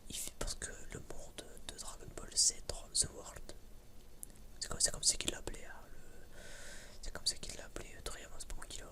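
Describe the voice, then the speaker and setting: A young man with a French accent whispering as an ASMR video host, rambling hesitantly and often repeating himself.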